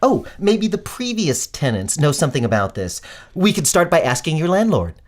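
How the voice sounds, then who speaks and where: A man's voice: on the high side, very animated, normal speed. A man playing an eager, helpful young amateur detective in an audio drama, casual and given to musing aloud. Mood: musing, curious.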